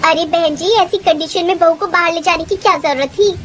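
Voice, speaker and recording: A woman's voice: very high voice, fairly quick, background noise, pitched above the performer's own voice. A woman voicing a helpful, reassuring woman passenger and seasoned nurse in a cartoon story.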